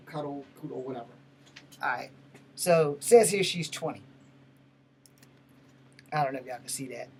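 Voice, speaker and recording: An American man in his fifties chatting casually to viewers in a rambling, profane, slangy way. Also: sits high. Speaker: host of his own vlog. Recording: noisy, distant-sounding mic with room ambience.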